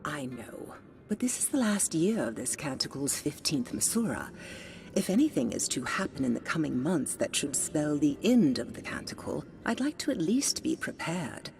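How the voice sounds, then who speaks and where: A woman voicing a blind older songstress in a video game, who speaks softly, often in a hushed, intimate half-whisper, wise and gently teasing. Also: low-pitched, big pitch swings, normal speed, creaky, full character voice. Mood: gentle. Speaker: game character.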